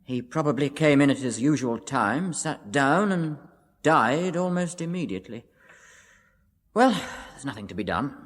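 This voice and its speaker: An actor playing a brisk, unruffled, matter-of-fact English doctor in a radio drama. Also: male voice, mid-range voice, lively intonation, natural voice. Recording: reverberant hall-like room.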